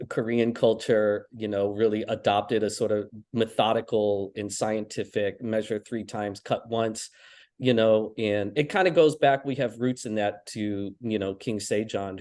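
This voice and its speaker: A man speaking as an interview guest in a relaxed, conversational way, sprinkling his talk with "you know" and short laughs. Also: fairly low pitch.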